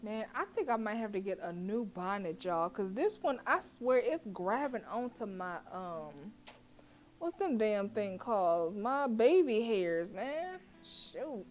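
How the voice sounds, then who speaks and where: A woman's voice: medium pitch, takes it slowly, a little hiss or hum. A young woman streaming a horror game, reading the narration aloud expressively and chatting with viewers. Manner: expressive, laughs often, chats to viewers between read lines.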